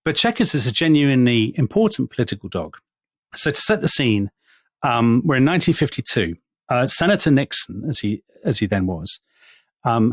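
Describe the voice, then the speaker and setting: A man co-hosting a history podcast, chatting and telling a story with easy asides. His voice sits in an ordinary middle pitch. It is recorded close and dry, with no room around it. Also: dry recording. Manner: chatty, storytelling, laughs often. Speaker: podcast co-host. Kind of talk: casual history podcast chat.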